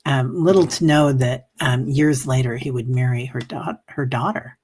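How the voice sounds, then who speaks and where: A woman hosting a livestream, chatting casually and rambling with many fillers, reading out viewer comments, coughing and a bit hoarse. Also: a bass voice, normal speed, darkish tone, slight background noise. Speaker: livestream meditation host. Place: home livestream.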